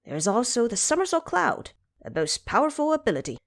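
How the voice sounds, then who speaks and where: A woman's voice: fairly high pitch, huge pitch swings, a little fast, no room sound, voice pushed up. A woman voicing a boastful, cocky male monkey king with proud, declamatory delivery in a fantasy audio drama.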